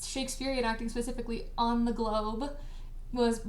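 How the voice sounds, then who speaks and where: A woman's voice: fairly high pitch, little pitch movement, moderate pace, reverberant. A woman hosting a casual group chat on a stream, giggly and informal, teasing her guests as she introduces them. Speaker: host of a cast party stream.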